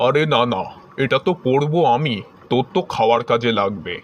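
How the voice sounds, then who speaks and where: A man's voice: mid-range voice, animated, fairly quick, dull audio, background noise, close to an everyday voice. A man voicing a grumbling, sarcastic middle-aged father and husband in a comedy cartoon dub. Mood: dry. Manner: sarcastic, lecturing.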